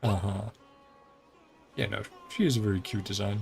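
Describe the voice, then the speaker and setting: A woman's voice: extremely low pitch, moderate pace, creaky, a little mellow, soft background music. A woman doing casual, rambling let's-play commentary as a game streamer, thinking aloud and reading dialogue.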